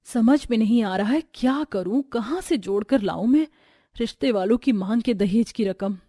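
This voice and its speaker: A woman's voice: fairly high pitch, normal speed, dark tone, no echo, studio quality, natural voice. A woman voicing a poor, devoted and humble sister-in-law in an earnest, pleading way for a Hindi family story. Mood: pleading, worried.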